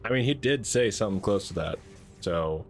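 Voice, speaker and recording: A man with a naturally deep voice chatting casually while playing a game, rambling and laughing at himself. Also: lively intonation, normal speed. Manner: rambling, self-amused, laughs often. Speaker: game streamer. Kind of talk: casual gaming commentary.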